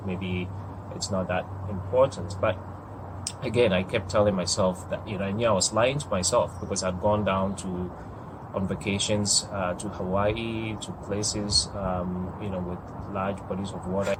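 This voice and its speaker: Man in his forties from Ghana, low voice, reflective and hesitant, noisy outdoors with airplanes overhead. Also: steady tone. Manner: reflective and hesitant, with frequent pauses and ums. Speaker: interviewee. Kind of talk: interview, personal story. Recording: outdoor noise with passing airplanes.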